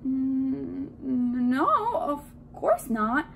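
A woman's voice: high-pitched, fairly even intonation, vocal fry, slight background noise, full character voice. A woman voicing a sheepish, flustered man in a children's storybook, hesitant and stammering. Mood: stunned, sheepish. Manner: hesitant, stammering, drawn-out words. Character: sheepish, flustered man who eats donuts.

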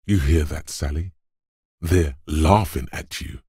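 A man playing a gruff, macho inner voice of brute muscle in a video game, goading and narrating in a deliberate, commanding, gravelly way. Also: warm tone, dry recording, clean studio sound, exaggerated voice. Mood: goading, forceful. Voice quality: gravelly, husky bass.

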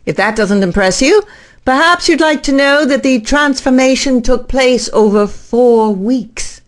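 A woman's voice: sits high, a little slow. A woman playing a middle-aged, earnest and driven scientist in an audio drama, speaking formally and insistently. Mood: proud, intent.

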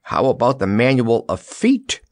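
A man's voice: medium pitch, sing-song, a little slow, no echo, clean studio sound, pitched above the performer's own voice. A man voicing a teasing, boastful straw scarecrow in a children's storybook, with a jaunty, mocking manner.

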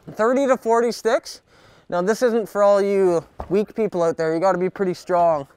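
A young man outdoors giving a jokey sports tutorial, coaching in a mock-serious, deadpan way full of hockey slang. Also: high voice, moderate pace, clean tone, no room sound. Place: backyard, outdoors. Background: music.

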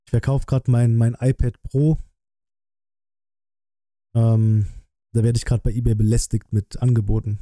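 A man's voice: medium pitch, level tone, a little slow, long pauses, dark tone, no echo. A man in his thirties from Germany chatting casually as a livestream host, blunt and colloquial, thinking aloud, close to a desk microphone. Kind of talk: casual livestream chat and trailer reaction. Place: small decorated streaming room indoors. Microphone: close desk microphone, headset worn.

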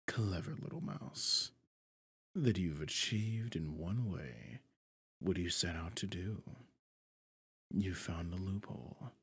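Man voicing a low, husky, smug demon lord. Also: a bit bright, no echo, heavily acted. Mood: smug, sly. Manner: pompous, slow, deliberate, breathy half-whispers. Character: possessive, smug male demon lord, suave deceiver. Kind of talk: scripted ASMR roleplay monologue.

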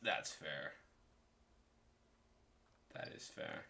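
A man's voice: extremely slow, bright, forceful tone. A young man chatting casually and joking while playing a game with friends. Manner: playful, jokey, laughs often. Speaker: gamer. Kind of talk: casual gaming chat.

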